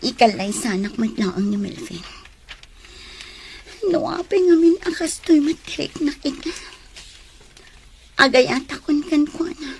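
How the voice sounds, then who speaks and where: A woman acting a tender, lovelorn young rural homemaker in an audio drama, with an Ilocano accent and breathy, plaintive delivery. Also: high-pitched, animated, unhurried, long pauses, slight background noise. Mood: wistful.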